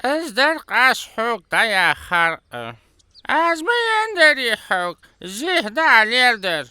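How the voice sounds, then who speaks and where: A woman voicing a slow-spoken female cartoon character with a clear, bright voice. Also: on the high side, very animated, dry recording, full character voice. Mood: measured. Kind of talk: animated cartoon dubbing.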